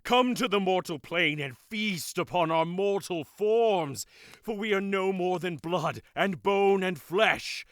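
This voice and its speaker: A man's voice: sits high, takes it slowly, fairly bright tone, no echo, studio quality. A man playing a fervent cult leader in an audio drama, solemnly declaiming a reverent invocation. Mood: reverent fervor. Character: fervent male cult leader praying at a dark altar.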